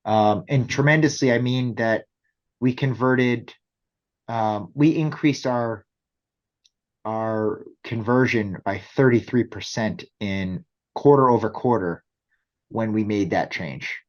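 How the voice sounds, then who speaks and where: A man's voice: on the low side, slow, lo-fi sound. A man explaining things conversationally as a business interview guest, often checking in with rhetorical questions. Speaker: company executive guest.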